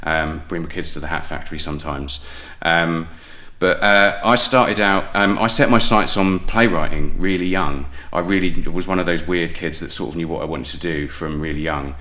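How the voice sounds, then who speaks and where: A man's voice: deep voice, normal speed, few pauses, sharp tone. A man with a southern English accent chatting casually as an interview guest, telling personal stories in a self-deprecating way. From England. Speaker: guest playwright.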